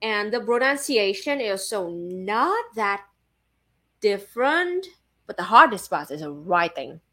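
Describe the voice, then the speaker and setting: A woman's voice: medium pitch, sing-song, unhurried, fairly bright tone. A woman with a Vietnamese accent, a native Vietnamese speaker talking casually in English, filling her speech with "like" and restarting her sentences. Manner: chatty, with many filler likes and restarts. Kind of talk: casual chat.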